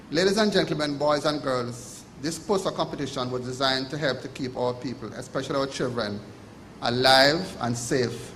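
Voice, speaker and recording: A man with a Jamaican accent delivers a formal prepared speech in a measured, emphatic way. His voice bounces around an echoey hotel function room, with faint ambience underneath. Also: mid-range voice, fairly bright tone, a little hiss or hum. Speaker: ceremony speaker.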